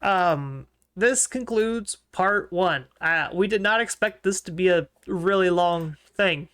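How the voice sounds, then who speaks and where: High-voiced man casually breaking down rankings. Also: takes it slowly, clean tone. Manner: relaxed, thinking aloud, frequent ums. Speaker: podcast co-host. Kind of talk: casual podcast analysis.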